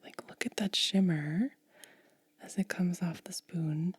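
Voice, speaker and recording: A woman doing soft-spoken ASMR, mostly whispering in a gentle, breathy, casual way as she narrates a hands-on demonstration close to the mic. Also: lowish voice, steady tone. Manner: gentle, unhurried, casual commentary. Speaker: ASMR video creator and host. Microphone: close mic, dry.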